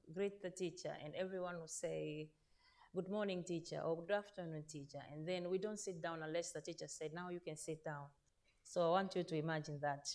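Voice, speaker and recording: Earnest older woman, low voice. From Zanzibar, Tanzania. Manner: earnest, addresses audience directly, frequent fillers and self-corrections. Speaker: panel speaker, veteran teacher. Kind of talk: conference panel talk. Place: conference stage in Turkey.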